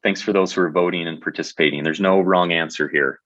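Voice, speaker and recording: A man's voice: low-pitched, fairly even intonation, fast, a bit bright, no room sound, muffled recording. A man presenting a webinar in a conversational way, often restarting his sentences. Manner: conversational, with many fillers and restarts.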